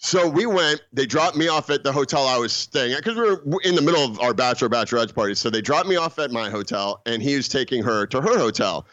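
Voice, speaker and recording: A man's voice: medium pitch, expressive, quick pace, few pauses, fairly bright tone, no room sound, lo-fi sound. A man in his forties co-hosting a podcast, telling a story casually with many restarts and laughing at himself. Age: 40s. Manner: rambling, restarts his sentences, laughs at his own stories. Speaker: podcast co-host.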